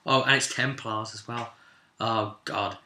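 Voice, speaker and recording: A man speaks in a very bright, forceful voice inside a noticeably echoey room. He is a game master recapping a roleplay session as casual storytelling. His delivery rambles and leans hard on key words, slipping into quoted remarks as he goes. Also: mid-range voice, steady tone, unhurried, slight background noise.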